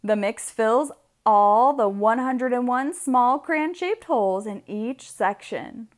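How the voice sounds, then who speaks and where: A woman reading a picture book aloud for children, expressively, with deliberate, drawn-out emphasis on key words. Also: on the high side. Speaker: read-aloud storyteller.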